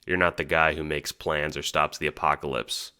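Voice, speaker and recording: A man's voice: a bass voice, flat delivery, moderate pace, sharp tone, clean tone. A man reading second-person scripted narration for an audio drama. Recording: background music under the voice.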